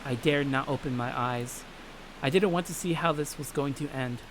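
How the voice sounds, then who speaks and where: A man's voice: medium pitch, normal speed, background noise. A man hosting a let's play, reading a visual novel aloud in a dramatic way and swearing casually.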